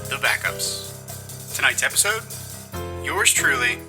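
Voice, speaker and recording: A man's voice: medium pitch, big pitch swings, slow pace, over loud music. A man playing a dramatic old-time radio announcer, grand and emphatic.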